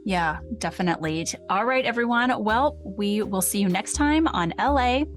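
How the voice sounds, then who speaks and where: A woman's voice: medium pitch, expressive, normal speed, faint music behind. A woman co-hosting a podcast in a casual, candid and wry way. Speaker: podcast co-host. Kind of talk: casual podcast discussion of true crime.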